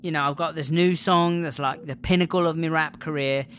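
A woman's voice: low voice, steady tone, fairly quick, fairly bright tone, slight background noise. A woman playing a brash, sulky inmate rapper in a comedy audio drama. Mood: dejected bragging.

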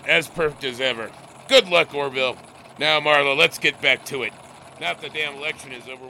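A man's voice: fairly high pitch, noisy background, close to an everyday voice. A man playing a bustling, bossy 1920s newspaper editor in an audio drama, talking in stammering bursts. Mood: grumbling.

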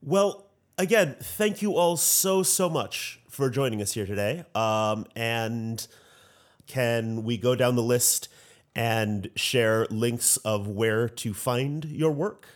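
A man's voice: mid-range voice, slow. A man from North America moderating a panel discussion in a thoughtful, courteous way.

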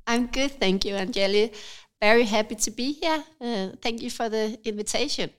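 Danish woman with a cold, smooth voice. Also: a little high, moderate pace. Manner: thoughtful, hesitant with frequent fillers. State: has a cold, slightly stuffy. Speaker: cafe and yoga studio owner, guest. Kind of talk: casual interview conversation.

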